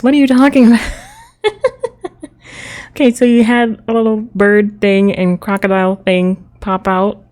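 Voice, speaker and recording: A woman's voice: fairly high pitch, darkish tone. A woman live streamer giving casual, playful, incredulous commentary as she plays a game.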